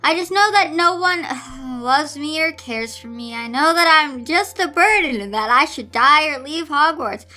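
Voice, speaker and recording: A woman's voice: high voice, measured pace, hardly any pauses, sharp tone. A woman voicing a distraught, meek young schoolgirl in a story reading, pleading and faltering as she blames herself. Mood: tearful, despairing.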